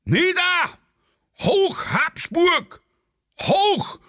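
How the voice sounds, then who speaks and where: A man playing a frenzied patriotic demonstrator in a satirical radio play, bellowing drawn-out chants. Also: extremely high pitch, sing-song, sharp tone, pitched above the performer's own voice, heavily acted.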